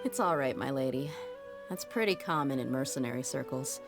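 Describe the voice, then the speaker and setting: Low voice, woman, blunt young mercenary, wry and matter-of-fact. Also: lively intonation, brisk, music in the background. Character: tough young female mercenary, blunt and candid. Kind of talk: video game cutscene dialogue.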